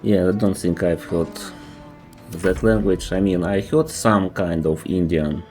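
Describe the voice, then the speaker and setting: A man's voice: deep voice, measured pace, a little mellow, soft background music. A man with a Russian accent, a native Russian speaker, streaming a game and chatting thoughtfully, often stopping to restart his sentences. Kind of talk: casual gameplay commentary.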